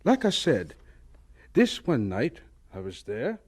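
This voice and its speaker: An actor playing a dignified, wry old American scientist who reminisces in a deliberate, folksy way in a radio drama. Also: male voice, medium pitch, huge pitch swings, a little mellow, slight background noise. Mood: reminiscing, wry. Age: older.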